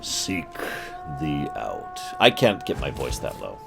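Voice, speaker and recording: Low-voiced man streaming a strategy game. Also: wildly swooping pitch, takes it slowly, creaky, over music. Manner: chatty, talks to chat, swings into mock outbursts. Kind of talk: casual live gameplay commentary.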